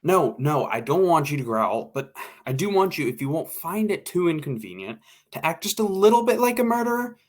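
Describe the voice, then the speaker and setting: A man's voice: fairly high pitch, very animated, brisk, hardly any pauses. A man acting in an old-time radio drama as an impatient, sarcastic, slangy radio director.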